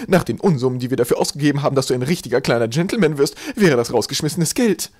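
Man as dotty mother, high-pitched, loud, melodramatic, fussy, comic storybook character. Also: expressive, fast, husky. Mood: stern fretting. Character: loud, overwrought, absent-minded mother, fussily dramatic. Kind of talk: comic children's audiobook character.

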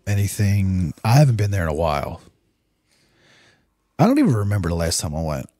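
Hoarse deep-voiced dad hosting a podcast. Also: male voice, very animated, brisk, dark tone, no room sound, studio quality. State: hoarse, losing his voice. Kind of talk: casual family chat.

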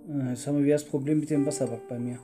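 A woman with a low voice chats casually through a gameplay session. Guitar music plays clearly behind the speech. The talk is informal, like a host playing alongside a partner. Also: fairly even intonation, very fast, dark tone. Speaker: gaming video host. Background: plucked guitar music.

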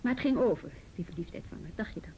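A woman's voice: expressive, racing, darkish tone, natural voice. A woman playing a firm, matter-of-fact youth police officer who asks probing questions in a Dutch radio drama. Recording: noisy old radio drama recording, rumble.

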